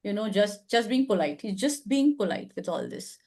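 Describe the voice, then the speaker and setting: A woman's voice: mid-range voice, lively intonation, moderate pace, a little mellow. An older woman with an Indian English accent, hosting a casual advice discussion, thoughtful and hedging, with many fillers. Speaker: advice show host.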